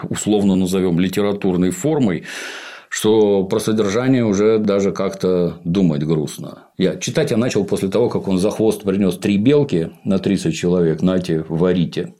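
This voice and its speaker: A man's voice: fairly low pitch, warm tone. A middle-aged man talking bluntly and sarcastically as host in a casual chat. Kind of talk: casual podcast chat, critical review.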